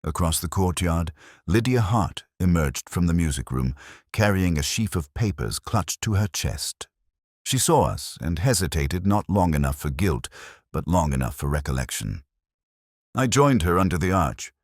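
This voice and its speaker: A man reading a scripted first-person detective story as its narrator, in a measured, reflective, literary manner. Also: sits low, very animated, no room sound, studio quality.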